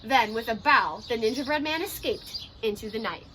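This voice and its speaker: Young female storyteller, high-voiced and dramatic, reading for children outdoors with background noise. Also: animated, normal speed, a bit bright. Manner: dramatic, carefully paced for children. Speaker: teacher reading aloud. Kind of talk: expressive children's storybook narration. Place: outdoors on a porch by a brick wall.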